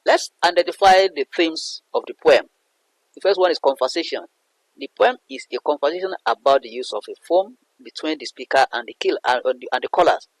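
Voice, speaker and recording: A man's voice: on the high side, expressive, brisk, no echo, low-quality recording. A man explaining a poem as a literature teacher, in a didactic way that repeats and rephrases points. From Nigeria. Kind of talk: explanatory poetry analysis lesson.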